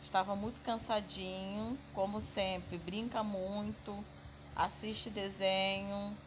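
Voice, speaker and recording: A woman's voice: mid-range voice, level tone, slow pace. A woman in her thirties from Brazil, chatting through a casual home vlog from her kitchen and dining table, often calling her viewers "family". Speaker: family vlogger. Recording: noisy home phone recording.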